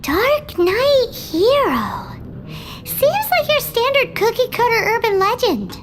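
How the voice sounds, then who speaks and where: A woman's voice: very high voice, big pitch swings, slow, noisy background, exaggerated voice. A woman voicing a tiny childlike floating companion in an anime game, cheeky and curious, exclaiming in a cutesy way. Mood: curious, teasing.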